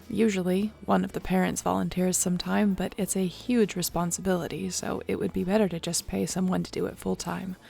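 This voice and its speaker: A woman acting in an audio roleplay as a warm young shapeshifter clan leader, talking casually and sincerely. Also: fairly low pitch, vocal fry. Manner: casual, sincere, conversational storytelling.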